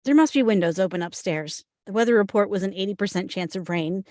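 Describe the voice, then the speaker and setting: An actress playing a skeptical, impatient and rational FBI agent at a script table read, matter-of-fact and argumentative. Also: female voice, medium pitch, quick pace, a little mellow, no echo, clean studio sound, lightly acted.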